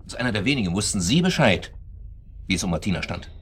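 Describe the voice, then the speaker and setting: An actor playing a bitter, accusing club member in a radio drama, speaking in a pointed, sardonic way. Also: male voice, on the low side, very animated, fast talker, a little hiss or hum. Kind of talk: naturalistic radio drama acting.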